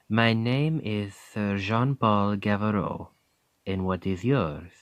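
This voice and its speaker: An actor playing a seasoned royal bodyguard with a French accent, speaking in a wry, testing, measured way in an ASMR roleplay. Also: male voice, on the low side, no echo.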